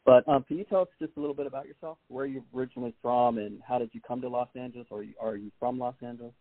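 A man hosting a podcast, asking his guest quick, friendly questions in casual talk. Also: medium pitch, steady tone, dry recording. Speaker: podcast host. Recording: dry, tinny phone-like sound.

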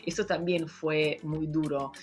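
A woman's voice: low voice, fairly even intonation, unhurried, a little mellow. Hesitant woman speaking learned Spanish. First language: not Spanish. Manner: hesitant, many fillers and restarts. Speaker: doctoral researcher. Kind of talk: casual conversation.

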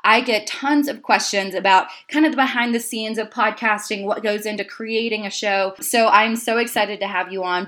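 A woman's voice: on the high side, a bit bright. A woman hosting her podcast, asking questions with friendly enthusiasm. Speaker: podcast host.

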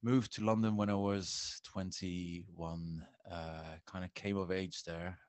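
Very deep-voiced Belgian man in his forties. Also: little pitch movement, slow, a little mellow, no room sound. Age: 40s. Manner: friendly and forthcoming, with frequent "uh" fillers. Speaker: guest. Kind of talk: casual interview talk.

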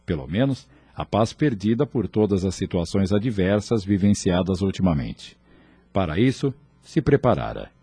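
A man narrating a scripted radio drama in a measured, formal manner. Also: sits low, sing-song, mellow, breathy edge, no echo.

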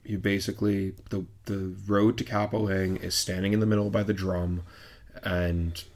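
A man with a lowish voice talks in a relaxed, rambling way. He restarts his sentences often and leans on fillers, like a regular talking shop on a casual podcast. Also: normal speed. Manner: easygoing, loose, with many restarts and fillers. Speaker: supporters' group capo.

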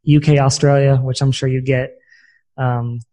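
A man with a very mellow, dark voice at a medium pitch hosts an interview. He speaks conversationally and casually as he puts questions to his guest. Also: little pitch movement, normal speed. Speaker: interviewer, podcast host.

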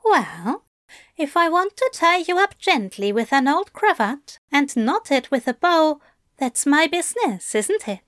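A woman's voice: high-pitched, big pitch swings, measured pace, a bit bright, no room sound, clean studio sound, voice pushed up, full character voice. A woman voicing a tender, teasing male angel lover in an audiobook, coaxing and fussily polite with endearments. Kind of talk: fan fiction audiobook character voice.

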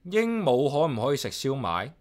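A man's voice: mid-range voice, huge pitch swings, normal speed. An actor playing an easygoing man who lives alone, speaking in a casual, wry manner in a radio drama. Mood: amused, wry. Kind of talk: Cantonese radio drama acting and narration.

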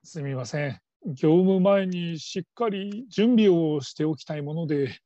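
A timid middle-aged trainee acted by a man, slow and hesitant in delivery. The voice is mellow and closely recorded with little room sound. He comes across as humble and apologetic, in a radio-drama style. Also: fairly high pitch, dry recording, lo-fi sound, lightly acted. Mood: timid, flustered.

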